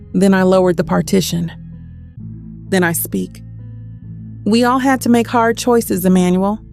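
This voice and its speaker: An actress playing a cold, resolute, haunted avenger, narrating an audio drama in short, measured phrases. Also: female voice, medium pitch, creaky, darkish tone. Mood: cold, focused. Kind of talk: first-person audio drama narration and dialogue.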